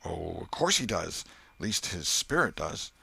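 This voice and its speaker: A man voicing a superstitious, fearful young country boy with a hushed, shivery, folksy rustic dialect in a storybook. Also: low-pitched, sing-song, unhurried, gravelly, husky, dry recording, heavily acted. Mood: solemn, fearful.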